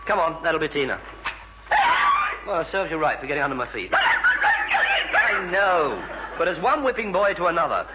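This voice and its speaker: A man's voice: on the high side, wildly swooping pitch, moderate pace, pauses often. An actor playing a flustered, bumbling, well-meaning English bachelor in an old British radio sitcom, stammering and tripping over his words. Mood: flustered, apologetic. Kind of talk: 1950s-60s British radio sitcom acting. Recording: muffled vintage radio recording, faint hiss.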